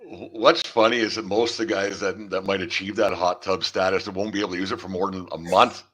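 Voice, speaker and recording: A man's voice: deep voice, steady tone, fairly quick, fairly bright tone, slightly rough. A man hosting a casual sports interview, chatty and rambling as he circles his way into questions. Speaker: podcast host and interviewer.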